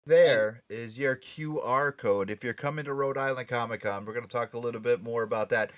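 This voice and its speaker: A man's voice: medium pitch, brisk. A man hosting a pop culture radio show, chatting casually and promoting events in a playful, teasing way.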